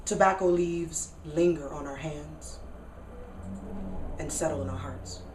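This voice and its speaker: A woman from Kentucky with an American accent delivers a theatrical spoken word monologue in dramatic, deliberate phrases with pauses. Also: sits low, a little mellow, slight background noise. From Kentucky, United States. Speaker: solo stage performer and spoken word poet. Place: radio studio live performance. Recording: roomy echo, distant from mic.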